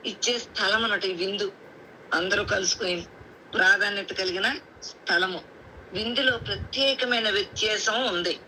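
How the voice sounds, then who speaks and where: A woman's voice: mid-range voice, sharp tone, rough recording. A woman with a coastal Andhra accent preaching a Bible sermon on a group call, explaining point by point, repeating phrases and asking rhetorical questions. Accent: coastal Andhra Telugu. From Andhra Pradesh, India. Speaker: lay preacher. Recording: faint background noise on conference call.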